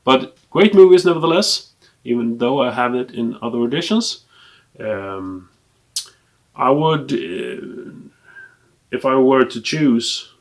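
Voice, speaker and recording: A man from Sweden with a Swedish accent, a native Swedish speaker, casually showing off a collection in English in a hesitant, halting way with trailing asides. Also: mid-range voice. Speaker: film collector presenting a collection. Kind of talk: casual unscripted show-and-tell.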